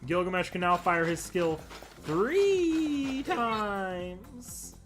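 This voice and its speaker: A man giving casual gameplay commentary as a streamer, rambling and often halting to correct himself mid-sentence. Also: high voice, big pitch swings, a bit bright. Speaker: game streamer and host. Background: music.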